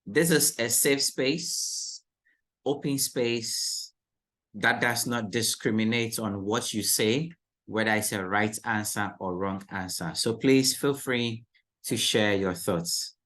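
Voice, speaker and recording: A man moderating a panel session, slowly coaxing the audience to speak and introducing the first speaker. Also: on the low side, fairly bright tone. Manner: slow, coaxing, repeats appeals to the audience.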